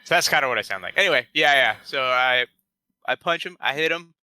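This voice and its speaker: A man's voice: medium pitch, lively intonation, fairly quick, bright, forceful tone, dry recording. A man playing in a tabletop game, chatting casually, hesitant and repeating his words. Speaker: player.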